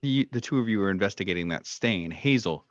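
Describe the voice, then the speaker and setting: A low-pitched man acts as game master for a tabletop horror session, describing scenes in halting, tentative phrases. The recording is dry and somewhat dull and lo-fi. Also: animated, no echo.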